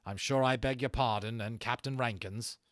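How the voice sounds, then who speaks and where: Young cadet, acted by a man; apologetic, deferential, halting, higher pitch. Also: dry recording, studio quality, close to an everyday voice. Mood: contrite.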